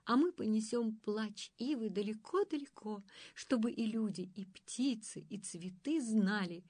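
A woman voicing a calm, steady old oak-tree spirit. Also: fairly high pitch, unhurried, breathy edge, no room sound, voice pushed up.

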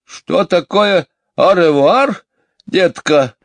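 A gossipy, fussy housekeeper woman from a children's storybook, acted out by a man. The voice is pitched high, with sing-song, gushing exclamations. The sound is close and dry. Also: expressive, drawn-out words, no room sound, heavily acted. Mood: flustered, musing. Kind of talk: audiobook character voice.

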